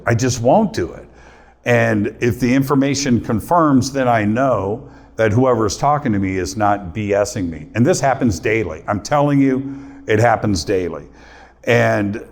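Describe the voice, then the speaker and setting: A man's voice: medium pitch, lively intonation, unhurried. A middle-aged man, a radio host, telling a story to a live audience and acting out his own quotes. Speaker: radio host giving a talk.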